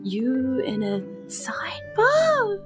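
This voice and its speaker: A woman voicing a bewildered young nobleman's son in a tabletop game, halting and incredulous. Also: high voice, huge pitch swings, music in the background, voice pushed up, exaggerated voice.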